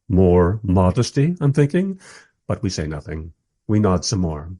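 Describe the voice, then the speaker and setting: A man playing a wry documentary maker, narrating a radio play in the first person with expressive, husky delivery. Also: deep voice, a little slow, no room sound, clean studio sound. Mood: hesitant, wry. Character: wry, enthusiastic documentary maker narrating his historical encounters.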